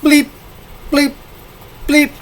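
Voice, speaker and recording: A man's voice: extremely high pitch, monotone, drawn-out words, slight background noise, voice pushed up, heavily acted. A man playing an over-dramatic, hammy reader of comic-book sound effects in explosive exclamations. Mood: bombastic, explosive.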